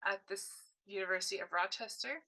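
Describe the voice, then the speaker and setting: A woman's voice: mid-range voice, fast talker, gravelly, sharp tone, rough recording. A woman voicing a deaf presenter's signed workshop talk in short, broken phrases, often correcting herself mid-sentence. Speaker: sign language voice interpreter.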